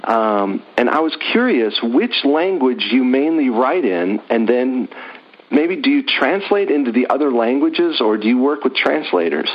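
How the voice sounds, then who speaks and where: A man's voice: mid-range voice, lively intonation, a little slow. A man hosting a podcast, interviewing a guest in a friendly, self-deprecating way. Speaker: podcast host. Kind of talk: interview conversation. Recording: muffled lo-fi audio.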